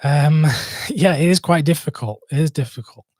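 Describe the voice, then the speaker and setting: A man's voice: on the high side, moderate pace, darkish tone, dry recording. A young man from Manchester with a Mancunian accent, chatting casually on a live stream, rambling and hesitant with many fillers and restarts. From Manchester, England. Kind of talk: casual live stream chat answering viewers.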